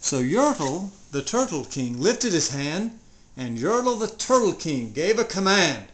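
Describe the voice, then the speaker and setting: A storyteller giving an expressive, dramatic reading of a rhyming children's story. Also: mid-range voice, measured pace, rough recording. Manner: theatrical, expressive.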